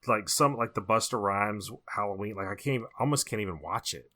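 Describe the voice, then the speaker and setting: A man's voice: lowish voice, brisk, sharp tone. A man co-hosting a horror movie podcast, giving casual, opinionated commentary and repeating his points for emphasis. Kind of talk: casual opinionated film discussion.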